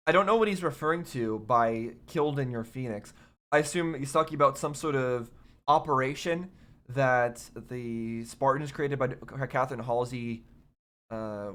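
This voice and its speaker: A man doing casual, rambling reaction commentary on a game, halting and puzzled as he thinks aloud, his voice a little off as he recovers from illness. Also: medium pitch, a bit bright. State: recovering from covid, voice slightly affected. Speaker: host of a video game reaction show.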